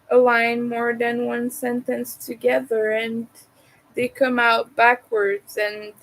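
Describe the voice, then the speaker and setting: A woman's voice: fairly high pitch, rough recording. A woman who is not a native English speaker, chatting casually while she crochets, hesitating and restarting words. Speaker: crochet vlogger.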